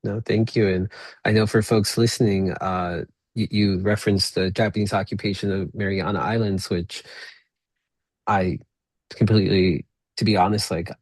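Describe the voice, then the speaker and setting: A man from Peru whose first language is Spanish, hosting a podcast interview. He talks in a reflective, halting way, restarting phrases and paraphrasing his guest back to her. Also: on the low side, steady tone, no room sound. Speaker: podcast host. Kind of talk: conversational interview.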